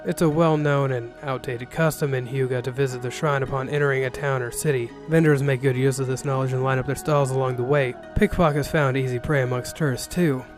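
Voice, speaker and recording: A man narrates a first-person ronin tale with dry sarcasm. His voice is mid-pitched, and music plays behind the reading. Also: steady tone, fairly quick, hardly any pauses. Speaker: narrator reading an interactive novel. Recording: music bed under the voice.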